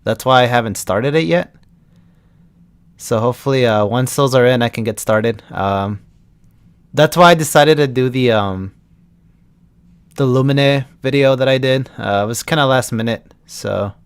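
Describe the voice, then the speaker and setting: A man's voice: mid-range voice, moderate pace, long pauses, clear, resonant tone. A young man from Texas, a voice actor chatting casually with viewers on a live stream and answering their questions. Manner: chats loosely, answering viewers' questions as they come.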